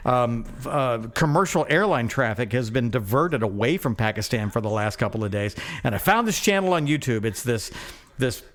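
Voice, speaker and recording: A man's voice: mid-range voice, lively intonation, moderate pace, a bit bright. A middle-aged man co-hosting a podcast in casual comic chat, rambling in a wry, irreverent way. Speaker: podcast co-host.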